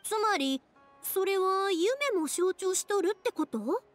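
A woman's voice: very high-pitched, expressive, takes it slowly, exaggerated voice. A woman voicing a cheerful, eager, friendly young woman in an anime-style game, with a Kansai accent. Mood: upbeat. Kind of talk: Japanese video game anime voice acting. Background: background music.

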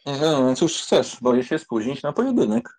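A man's voice: medium pitch, very animated, normal speed, a little mellow. A man playing a cocky, sardonic young count in an audiobook drama, with an ironic, theatrical delivery. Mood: hurried. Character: cocky, sardonic young count and duelist, joking through pain.

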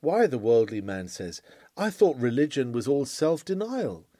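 A man reading an old sermon aloud in a formal, declamatory style, with emphatic phrasing and deliberate pauses. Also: medium pitch, very animated, warm tone, dry recording, studio quality. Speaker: reader of a historic sermon. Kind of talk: oratorical sermon read aloud.

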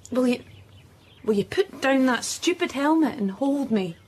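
An actress playing a shaken, sarcastic teenage daughter in a radio drama, dry and hesitant. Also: female voice, high voice, lively intonation, measured pace, slightly rough, heavily acted.

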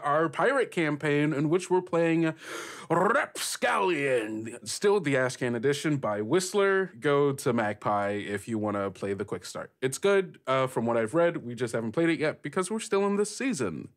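A man's voice: fairly high pitch, animated, moderate pace. A man hosting and narrating a tabletop podcast as game master, conversational and descriptive.

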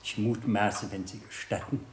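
An actor playing a stiffly formal, superstitious butler to a countess in a 1960s German radio play. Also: male voice, on the low side, steady tone, moderate pace, echoey room, slight background noise, close to an everyday voice. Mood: ominous, deferential. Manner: ceremonious, stiffly polite.

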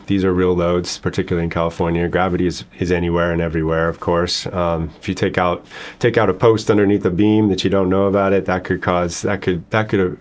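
Man, middle-aged, very low voice, engineer guest, short agreeable answers, hesitant, indoor office. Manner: brief, agreeable, hesitant answers with many fillers. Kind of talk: interview answers.

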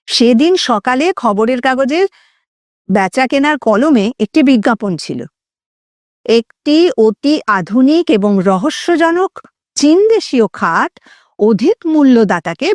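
Expressive high-voiced woman narrating a story. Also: no echo. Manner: dramatic, deliberate phrasing with acted dialogue. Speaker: audio story narrator and host.

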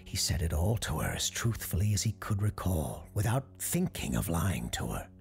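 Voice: sits low, big pitch swings, moderate pace, a little mellow. A storyteller giving a scripted narration of a spooky tale. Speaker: storyteller, show host character.

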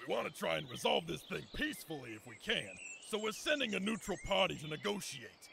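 A man voicing an animal army general in a video game, formal and emphatic, with a gravelly voice. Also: high-pitched, very animated, brisk, sharp tone, a little hiss or hum, heavily acted. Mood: formal, earnest. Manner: formal, emphatic military briefing.

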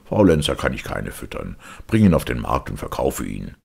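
A man's voice: rumbling low, big pitch swings, normal speed, creaky, a little mellow, slightly rough, exaggerated voice. A man voicing a stern, heartless circus director with a curt, commanding manner.

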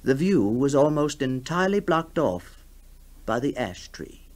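A man narrating a ghost story for 1960s radio in a measured, theatrical manner. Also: mid-range voice, big pitch swings, a little mellow. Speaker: narrator and voice actor.

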